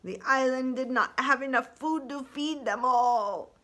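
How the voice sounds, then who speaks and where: A solemn, ancient male turtle from a storybook, played by a woman. He speaks very slowly and weightily, drawing out words in a raised, forceful voice. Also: high voice, pitched above the performer's own voice. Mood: grave. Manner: slow, weighty, drawn-out delivery. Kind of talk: children's storybook character voice.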